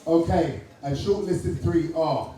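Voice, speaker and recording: A man with a Jamaican accent hosting an awards night, chatty and brisk, joking with the crowd. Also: fairly high pitch, dark tone. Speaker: awards host. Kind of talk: live event compering. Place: large hall at a live event. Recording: echoey hall, taken from far off. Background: noisy crowd.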